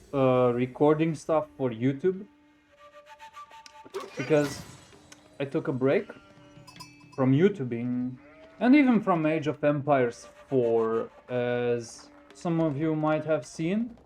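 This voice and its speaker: A young man talks in a relaxed, reflective way, as though thinking aloud. His voice sits a touch high for a man. Soft music plays quietly behind him. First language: Romanian. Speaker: gaming YouTuber. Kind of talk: casual commentary over a game replay.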